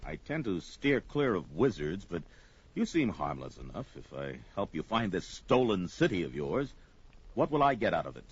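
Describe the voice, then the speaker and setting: An actor playing a young, wary, mercenary barbarian warrior with a bold, declamatory manner in an audio drama. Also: male voice, deep voice, very animated, normal speed, husky, full character voice. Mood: wary, bargaining. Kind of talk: 1970s audio drama record acting. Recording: dull vintage story record with music.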